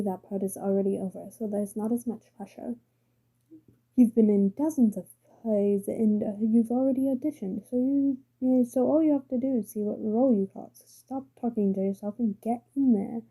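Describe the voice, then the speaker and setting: A woman's voice: medium pitch, dark tone, husky, rough recording. A woman with a strained, failing voice reading a story aloud carefully, with small slips. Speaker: story reader.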